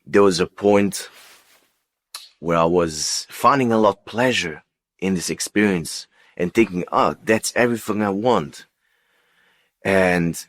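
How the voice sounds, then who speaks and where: A man who speaks as a tells his personal story as an interview guest, in a halting, unscripted way with repeated words and phrases. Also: low-pitched. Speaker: interview guest giving testimony.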